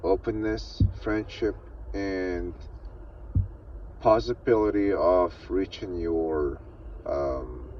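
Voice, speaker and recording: A man answering slowly and deliberately in a class discussion, heard from a distance. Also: deep voice, slight background noise. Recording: distant, dull off-mic sound.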